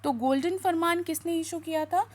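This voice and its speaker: A woman with an Indian accent teaching an exam lesson in question-and-answer style, with an instructive manner, explaining points and reading out material. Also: very high voice. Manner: instructive, switching between spoken explanation and slower reading aloud.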